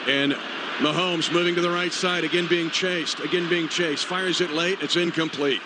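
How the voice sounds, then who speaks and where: High-voiced man calling football over stadium noise. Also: fairly even intonation, moderate pace. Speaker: play-by-play radio announcer. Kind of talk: live football play-by-play commentary. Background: loud stadium ambience.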